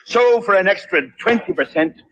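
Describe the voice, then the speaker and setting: A man's voice: high voice, expressive, normal speed, muffled recording. A man playing a smug, threatening alien gangster boss in film dialogue. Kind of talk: film dialogue played from a clip.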